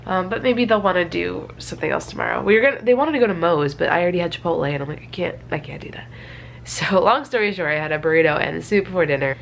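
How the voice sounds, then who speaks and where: Congested, creaky-voiced young woman vlogging. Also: lowish voice, fast. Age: 20s. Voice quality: stuffy, congested nose. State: congested from a cold. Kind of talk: casual chat to camera. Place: small bedroom indoors. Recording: faint background room noise.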